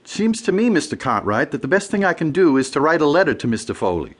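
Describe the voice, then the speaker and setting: An American actor playing a cautious deputy district attorney in a radio drama, polite, official and probing. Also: male voice, fairly high pitch, very animated, quick pace. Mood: placating.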